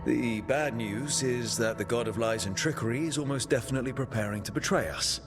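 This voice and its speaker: A man's voice: medium pitch, a little fast, close to an everyday voice. A man playing a practical, empathetic leader of adventurers in a video game cutscene, speaking conversationally. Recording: music clearly behind the voice.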